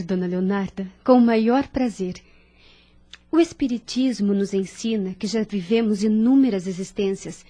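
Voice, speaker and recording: High-voiced, caring young nurse played by an actress. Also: female voice, dark tone. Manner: tender, explanatory. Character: gentle, devout young nurse, caring and earnest. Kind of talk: audio drama acting.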